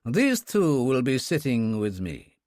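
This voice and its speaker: A man voicing a suave Russian gentleman with a slight Russian accent, courtly and ironic, in an audiobook story. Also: huge pitch swings, slow pace, no echo, studio quality. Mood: cool, insistent. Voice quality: pleasantly deep. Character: suave Russian man, charming, lazily insolent, self-mocking.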